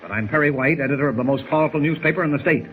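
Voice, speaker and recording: An actor playing a gruff, impatient, indignant older newspaper editor in a 1940s radio drama. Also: male voice, a little high, rapid-fire, natural voice. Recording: tinny noisy 1940s radio recording.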